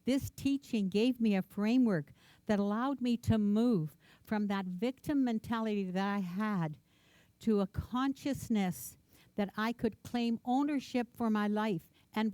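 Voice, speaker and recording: A woman's voice: a little high, a little slow, warm tone, dry recording. A woman minister, a native Ukrainian speaker, gives an earnest, reflective personal sermon in a church, repeating words for emphasis.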